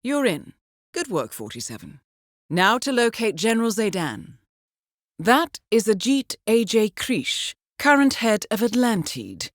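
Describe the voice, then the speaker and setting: An actress playing a cool, composed intelligence handler in a video game, briefing an assassin with articulate dry wit. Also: female voice, a little high, sing-song, measured pace, dry recording. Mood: cool, dryly amused. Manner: composed, dryly witty, articulate. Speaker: mission handler.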